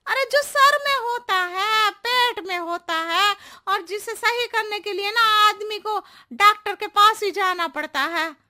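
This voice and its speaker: A woman's voice: extremely high pitch, a little slow, hardly any pauses, bright, forceful tone, exaggerated voice. A woman voicing a silly, chatty cartoon housemaid who talks playfully in roundabout riddles. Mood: playful, riddling. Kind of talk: exaggerated Hindi cartoon voice acting.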